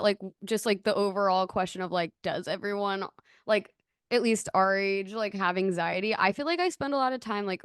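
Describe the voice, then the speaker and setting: A woman in her early twenties speaks casually on a podcast with a smooth, clear voice at a medium pitch. She comes across as earnest and hedges her thoughts. The sound is close and dry. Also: no echo. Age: early 20s. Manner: hedging, earnest.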